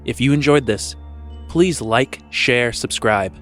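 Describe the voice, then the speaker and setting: A man with a medium-pitched voice delivers a scripted podcast outro as the announcer. Soft music plays underneath him. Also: slow.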